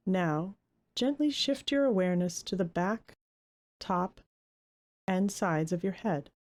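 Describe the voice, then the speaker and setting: Female meditation guide, lowish and very soft-edged in tone, gentle, unhurried delivery with generous pauses. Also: lively intonation.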